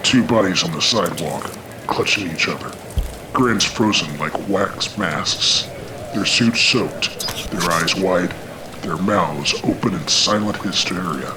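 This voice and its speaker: Man voicing a deep, slow noir dragon detective. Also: background noise. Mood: grim, brooding. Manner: deadpan, terse. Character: hard-boiled male dragon detective, wry and world-weary. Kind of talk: noir radio play narration and dialogue.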